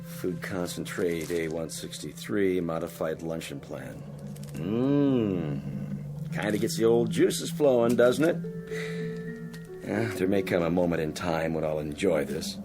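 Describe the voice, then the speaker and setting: An actor playing a sour, sarcastic spaceship co-pilot in an audio drama, complaining in slangy, needling talk. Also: male voice, sits low, unhurried, music in the background. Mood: disgusted, mocking.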